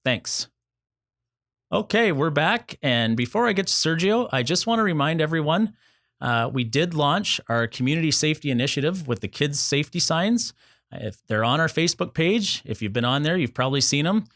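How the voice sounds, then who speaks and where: A man's voice: on the high side, animated, normal speed, fairly bright tone, no echo. Male talk show host; upbeat, jokey, promotional energy; dry close-miked sound.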